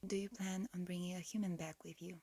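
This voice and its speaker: Woman as a whispering, low-pitched alien examiner. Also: level tone, quick pace. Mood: politely procedural. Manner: soft, hesitant, politely procedural. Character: polite alien examiner in human guise, quietly weary of humanity. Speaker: medical examiner in a roleplay. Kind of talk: ASMR roleplay, mostly whispered.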